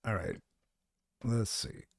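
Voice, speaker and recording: A man's voice: slow, no room sound, studio quality. A man chatting casually while playing an online game with a friend, rambling with many fillers and restarts. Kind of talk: casual gaming chat.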